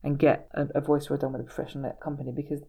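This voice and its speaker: A woman's voice: rumbling low, little pitch movement, racing, gravelly, dark tone, breathy edge. A woman working as an audiobook casting director, answering interview questions in an earnest, emphatic way with many restarts and "you know"s.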